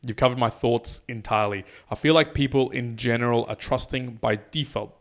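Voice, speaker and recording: A man's voice: mid-range voice, fairly quick. An Australian man co-hosting a podcast, reading listener messages aloud and chatting casually. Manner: relaxed, chatty, says "mate" often. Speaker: podcast co-host.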